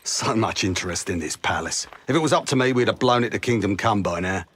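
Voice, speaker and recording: An actor with a British accent playing a gruff, sardonic SAS commander in a war video game. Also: male voice, lowish voice, animated, brisk, a bit bright. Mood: brusque, dry. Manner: brusque, sardonic, clipped orders. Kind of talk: video game cutscene acting.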